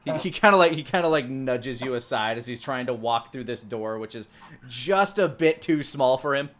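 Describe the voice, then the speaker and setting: A man narrating a tabletop game as dungeon master, describing scenes in a conversational, halting way. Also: medium pitch, expressive, a bit bright.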